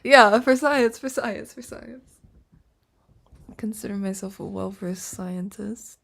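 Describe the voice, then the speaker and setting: A woman live streamer chatting casually and playfully to viewers in a soft, breathy voice that often drops into a whisper. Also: mid-range voice, slow. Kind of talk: sleepy casual livestream chat to viewers.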